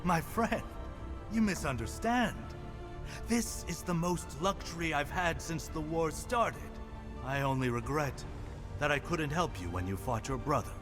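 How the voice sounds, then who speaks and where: An actor playing a good-natured, earnest armourer and loyal war comrade in a fantasy game. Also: male voice, fairly high pitch, lively intonation, unhurried. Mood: grateful. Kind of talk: cinematic fantasy game acting. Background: music.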